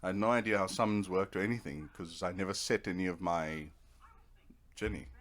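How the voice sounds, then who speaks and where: A man's voice: deep voice, fairly quick, fairly bright tone. A man giving casual, rambling let's play commentary, thinking aloud as he goes. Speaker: gamer narrating own playthrough.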